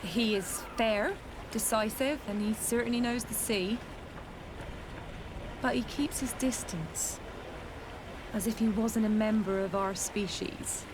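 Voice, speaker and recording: A woman plays a reserved female sailor in a video game, mid-pitched. She chooses words carefully and respectfully, with noisy outdoor harbour ambience behind her. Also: slow pace, long pauses, close to an everyday voice. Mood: careful, uneasy. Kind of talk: video game dialogue acting.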